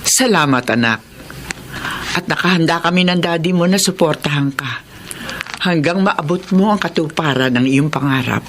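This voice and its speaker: An actress playing a gentle, supportive middle-aged mother in a radio drama. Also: deep voice, normal speed, slight background noise, natural voice. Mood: tender.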